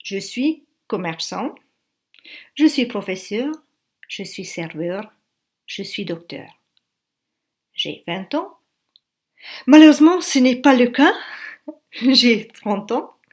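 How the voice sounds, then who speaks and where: A woman in her forties teaching a beginner language lesson in a slow, careful classroom manner. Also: mid-range voice, lively intonation. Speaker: French teacher.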